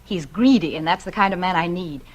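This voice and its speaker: An actress playing a determined, secretive young wife in an old-time radio suspense drama, urgent and emotionally intense. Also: female voice, fairly low pitch, fairly quick, lightly acted. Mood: calculating.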